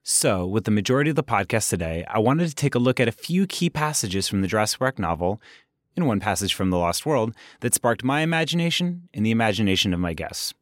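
Low-voiced male podcast host. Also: quick pace, no echo, clean studio sound. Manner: relaxed, reads passages aloud. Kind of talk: casual podcast chat.

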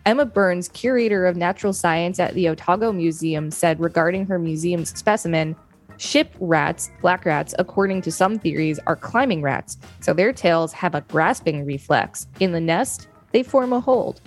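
A woman's voice: fairly low pitch, normal speed, soft background music. A woman co-host reading an encyclopedia article aloud, with casual asides now and then.

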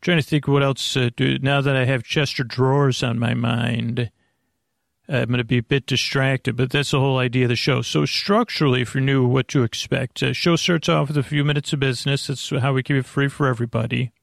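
Male sleep podcast host, creaky and gravelly, mid-range pitch, close dry sound, halting and meandering delivery. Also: fairly even intonation, no room sound. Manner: meandering, halting, self-deprecating. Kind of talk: rambling, off-topic bedtime monologue.

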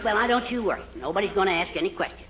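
A man's voice: high-pitched, animated, racing, a loud crowd. A man playing a meek, wistful, fussy middle-aged father, hesitant and coaxing, in 1940s radio comedy style. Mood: reassuring. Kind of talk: 1940s radio sitcom acting. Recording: muffled, hissy 1940s radio recording.

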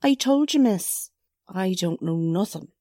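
A woman's voice: mid-range voice, animated, a little slow, dark tone. A woman playing a defensive, easily riled working-class witness with folksy, ungrammatical talk in a 1940s-style radio drama. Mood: indignant.

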